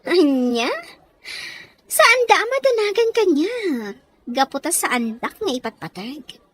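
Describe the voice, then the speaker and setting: A woman's voice: sits high, sing-song, unhurried, no room sound. A woman playing a spiteful, stubborn young daughter of a rich family in an audio drama, snappish and scornful. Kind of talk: radio drama acting.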